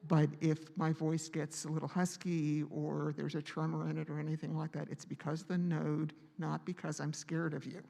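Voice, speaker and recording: Gravelly-voiced man hosting a church meeting. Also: on the high side, little pitch movement, warm tone. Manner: halting, with many pauses and fillers. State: on vocal rest with a vocal cord node. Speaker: meeting host. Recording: long hall reverb.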